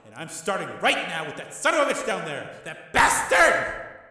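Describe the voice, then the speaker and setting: A man voicing a volatile young male mobster who yells and swears explosively. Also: high-pitched, very animated, normal speed, recorded from a distance, voice pushed up, heavily acted. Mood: furious. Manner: yelling, profane, explosive. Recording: echoey hall.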